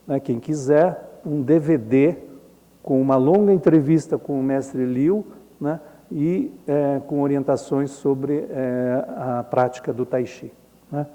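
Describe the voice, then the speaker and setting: A middle-aged Brazilian man lectures at an unhurried, drawn-out pace, his voice mellow and dark. He talks casually to the audience, tossing in tag questions and small chuckles. The sound carries the long reverb of a lecture hall. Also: mid-range voice, lo-fi sound. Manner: conversational, frequent tag questions, chuckles often. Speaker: Jungian analyst lecturing at a symposium. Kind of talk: informal symposium lecture with slides.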